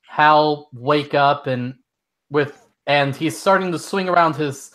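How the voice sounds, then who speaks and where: A young man acting as game master, narrating a casual tabletop session in halting speech with many restarts. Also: a little high, fairly bright tone.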